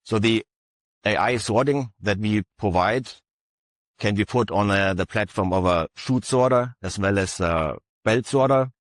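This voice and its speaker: A man with a very low voice presents at an industry conference session. He speaks haltingly, stopping for frequent uhs. The sound is dry and close. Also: dry recording. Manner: halting, many filler uhs. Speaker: conference panelist.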